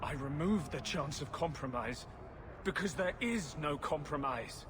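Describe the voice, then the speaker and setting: An actor playing a grim, fanatical rebel mage in a fantasy game, speaking with solemn, resolute intensity. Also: male voice, a little high, lively intonation, a little slow, background noise. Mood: grim resolve. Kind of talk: dramatic fantasy game acting.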